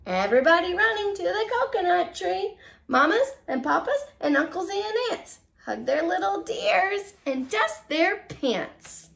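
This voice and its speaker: A woman preschool teacher reads a picture book aloud in a very high voice. Her delivery is slow and sing-song, with big swoops of pitch. She exaggerates the storytelling for young children. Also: big pitch swings, creaky, fairly bright tone. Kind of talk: animated children's read-aloud. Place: small living room, seated on a couch.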